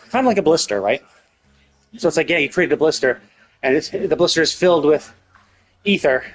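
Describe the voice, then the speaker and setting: A man gives casual live-stream commentary in a slightly high voice, with music playing behind him. He reasons out loud, often repeating and rewording his points as he theorizes. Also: low-quality recording. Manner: thinking aloud, repeats and rephrases himself. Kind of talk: casual game commentary, speculating on story. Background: game music.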